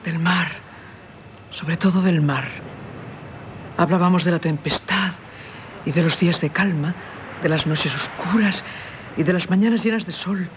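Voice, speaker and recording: A woman's voice: on the low side, unhurried, long pauses, natural voice. An actress with a Castilian Spanish accent playing a tormented married woman haunted by a former lover, in solemn, confessional radio theatre style. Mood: wistful. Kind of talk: 1970s radio theatre acting. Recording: dull, noisy 1970s radio recording.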